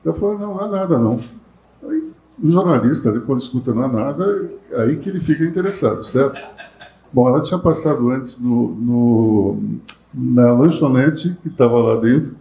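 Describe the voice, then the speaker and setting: A man's voice: mid-range voice, expressive, moderate pace. A man hosting a panel interview, telling a joking anecdote. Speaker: host and interviewer. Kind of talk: casual interview chat. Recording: roomy, dull sound.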